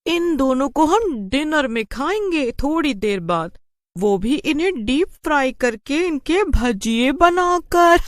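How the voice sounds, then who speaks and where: Woman acting as greedy cartoon witch, high pitched, bossy, gleeful, animated. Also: slow, dry recording, studio quality. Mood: impatient, gleeful. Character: impatient, greedy witch eager to cook humans.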